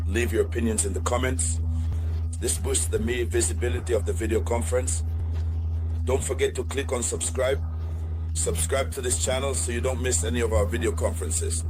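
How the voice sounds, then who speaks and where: A man presents political analysis as the host of an online news channel. He addresses his viewers directly in a mid-pitched voice. His delivery is deliberate, and he often restates key phrases to stress them. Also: low-quality recording.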